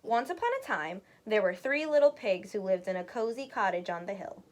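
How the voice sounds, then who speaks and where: A woman storyteller narrating a children's read-aloud in an expressive, deliberate way. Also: medium pitch.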